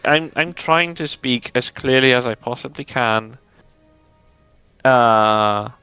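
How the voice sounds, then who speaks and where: Man with a gravelly, bright voice. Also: mid-range voice, slow pace, dry recording, dull audio, faint music behind. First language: not English. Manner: frustrated venting, restarts often. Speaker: stream co-host. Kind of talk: casual chat.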